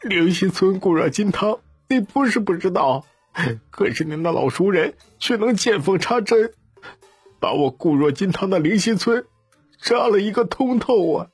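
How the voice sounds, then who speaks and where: A man's voice: sits high, lively intonation, normal speed, breathy edge, soft background music, full character voice. A man voicing an anxious, servile village chief in an audiobook, complaining and pleading in an agitated manner. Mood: aggrieved, complaining. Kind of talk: audiobook character dialogue.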